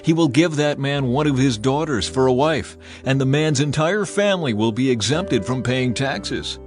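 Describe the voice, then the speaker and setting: A man's voice: mid-range voice, lively intonation, normal speed, music in the background, voice pushed up, lightly acted. A man voicing frightened soldiers who pass on news urgently.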